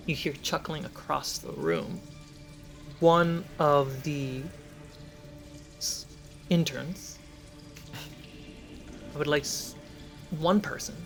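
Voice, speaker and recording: A man's voice: a little high, steady tone, takes it slowly, long pauses, faint music behind. A man narrating a tabletop fantasy game as game master, setting scenes and prompting players.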